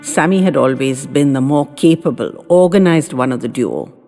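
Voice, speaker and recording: A woman reading sentimental short stories as a storyteller, in a soft, expressive, dramatic voice with lingering pauses. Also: low-pitched. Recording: long hall reverb, music behind.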